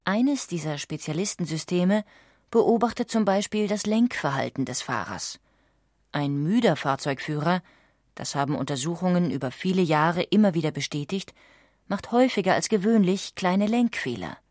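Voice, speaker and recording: Low-voiced female German documentary narrator. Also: expressive, normal speed, darkish tone, dry recording, studio quality. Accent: standard German. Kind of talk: scripted documentary audiobook narration.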